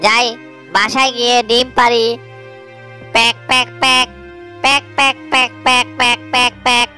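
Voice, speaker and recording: A woman's voice: on the high side, little pitch movement, normal speed, pushed and bright, faint music behind. A woman voicing a fretful mother duck in a children's cartoon, quacking repeatedly between short plaintive phrases. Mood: busy, careful. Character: fretful mother duck guarding her eggs. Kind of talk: children's fairy-tale cartoon voice acting.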